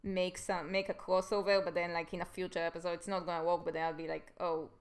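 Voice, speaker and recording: A woman from Israel with an Israeli accent, chatting casually on a stream in a relaxed, dry, matter-of-fact way. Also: lowish voice, fast, clear, resonant tone. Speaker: animator and stream host.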